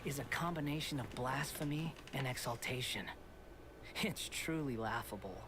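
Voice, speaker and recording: An actor playing a mocking young male god in a video game, speaking in a hushed, breathy half-whisper with a condescending tone. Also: medium pitch, moderate pace, close to an everyday voice. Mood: taunting. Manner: taunting, condescending.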